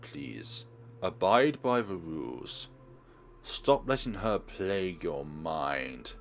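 A man voicing a menacing, controlling sentient game program with slow, stern, drawn-out delivery. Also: low voice, sing-song, creaky, slight background noise, voice pushed down, heavily acted.

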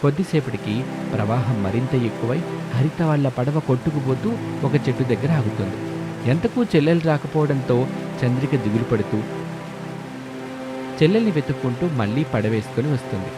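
A man's voice: medium pitch, lively intonation, a little fast, long pauses. A man narrating a scripted moral story as a storyteller. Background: background music.

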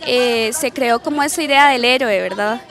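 A woman's voice: fairly high pitch, normal speed, a crowd in the background. A young woman at a street march, reflecting in a conversational interview with frequent tag questions. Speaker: activist interviewee. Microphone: handheld interviewer mic.

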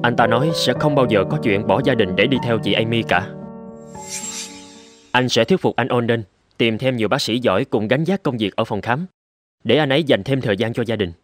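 A man playing a caring, reassuring young husband in an animated drama, earnest and measured. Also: medium pitch, lightly acted. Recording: loud music over the voice. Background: loud guitar music.

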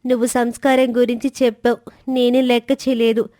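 A woman's voice: on the high side, little pitch movement, moderate pace, warm tone, dry recording, studio quality, close to an everyday voice. A woman voicing a hot-tempered, arrogant schoolboy in a children's moral story. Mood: apologetic.

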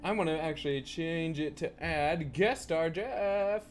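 A man's voice: a little high, a little slow, faint music behind. A man in his twenties chatting casually and teasingly as he hosts a gameplay stream on a close microphone. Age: 20s. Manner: joking, teasing. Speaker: streamer, host. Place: indoor streaming setup, seated. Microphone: close boom-arm microphone.

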